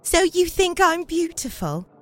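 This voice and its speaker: A woman's voice: very high voice, sing-song, unhurried, a bit bright, voice pushed up, exaggerated voice. A woman voicing a talking teacup in a storybook tale, theatrical, exclaiming and plaintive. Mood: proud, eager. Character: beautiful talking teacup, once clay, dramatic and plaintive.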